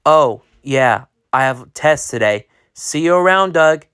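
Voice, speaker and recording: A reader voicing an earnest young schoolboy in a homemade spoken story, pitched well above their own voice. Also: animated, slow, a bit bright, clear, resonant tone. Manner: earnest read-aloud delivery. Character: excited young boy, proud and loyal.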